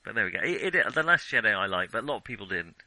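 Bright punchy-voiced man, lowish pitch, casual film podcast chat, dry close sound. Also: big pitch swings, racing, no room sound. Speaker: podcast co-host.

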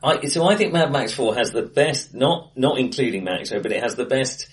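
A man co-hosting a casual film discussion, speaking in a hesitant, halting but enthusiastic way in a bright-sounding room. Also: medium pitch, animated. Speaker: podcast co-host. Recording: distant, bright echoey room.

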